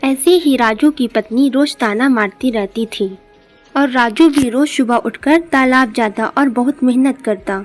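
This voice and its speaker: A woman's voice: sits high, unhurried, faint music behind. A woman reading scripted narration for a moral story, as a storyteller.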